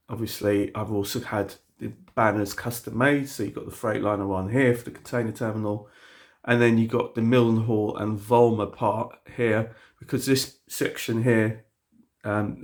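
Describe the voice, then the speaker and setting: A man with a cold, sounding sniffly, casually walking viewers around his model railway layout with lots of fillers and restarts. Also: lowish voice. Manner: rambling, many fillers and restarts. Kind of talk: casual walkthrough video. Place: indoor hobby room with the layout.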